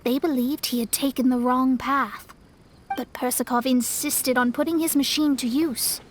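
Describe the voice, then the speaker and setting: A woman's voice: sits high, steady tone, moderate pace, a little hiss or hum, natural voice. A woman voicing an earnest young female investigator in a video game dub, speaking in an articulate, explanatory way.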